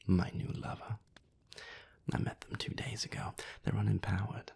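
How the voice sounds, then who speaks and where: A sly, infuriating vampire man, performed by a male voice actor in an audio roleplay. He speaks in a breathy half-whisper with a creaky low register, drawing out his words with theatrical, taunting politeness. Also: level tone, moderate pace, darkish tone, heavily acted. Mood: smug, teasing. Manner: arch, drawling, taunting formality.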